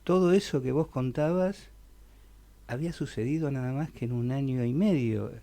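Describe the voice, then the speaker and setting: A man's voice: mid-range voice, normal speed, vocal fry, mellow. A man hosting a radio interview, stammering and repeating words as he shapes his questions. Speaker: radio host.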